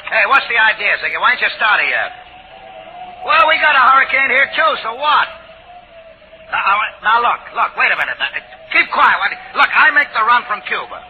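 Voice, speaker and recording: An actor playing a cruel, mocking, impatient gangster boss who barks orders in tough street slang, in 1940s radio drama style. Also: male voice, extremely high pitch, very animated, normal speed. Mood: angry, impatient. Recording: scratchy narrow-band 1940s radio recording.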